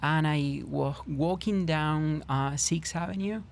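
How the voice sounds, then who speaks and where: A middle-aged man from Argentina speaks with an Argentine Spanish accent and a gravelly edge to his voice. He talks thoughtfully, often restarting and repeating words as he searches for the phrasing. Faint noise hums behind him. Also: fairly high pitch, slow pace. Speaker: guest trauma therapist. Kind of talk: podcast interview.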